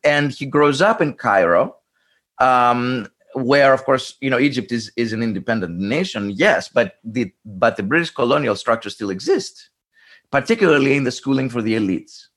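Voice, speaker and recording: A man explaining history in an interview, thoughtful and halting, with many restarts and fillers. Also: mid-range voice, expressive, a bit bright. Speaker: interviewed scholar.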